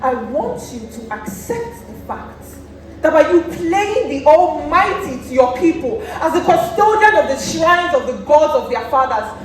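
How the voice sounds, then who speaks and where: A young Nigerian woman acting a stern, accusing woman of authority on a theatre stage. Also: high voice. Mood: pleading, reproachful. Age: young adult. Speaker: student stage actor. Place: theatre stage, recorded from afar. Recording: distant, echoey theatre with noise.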